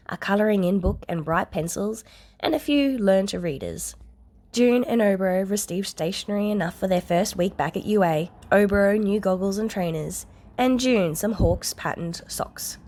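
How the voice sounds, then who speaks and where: A woman's voice: mid-range voice, a little mellow. A woman reading scripted fiction aloud as a narrator. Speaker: podfic narrator.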